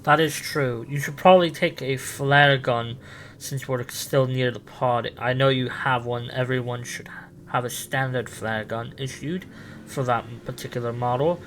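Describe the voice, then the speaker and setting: A man playing a quirky time-travelling adventurer and helpful guide, halting as he thinks aloud in improvised role-play. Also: medium pitch, fairly even intonation, fairly bright tone, slight background noise, lightly acted.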